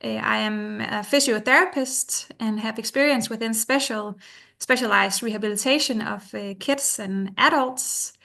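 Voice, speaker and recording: A woman with a Danish accent giving a careful, measured webinar presentation in English, her second language, over a video call. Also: on the high side, vocal fry, pushed and bright. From Denmark. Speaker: webinar presenter, product manager.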